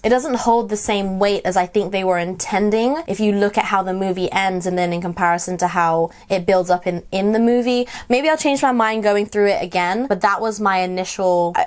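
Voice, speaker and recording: A young woman with a blocked nose giving bubbly, laugh-filled reaction commentary on a film. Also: medium pitch. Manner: bubbly, laughs often, enthusiastic.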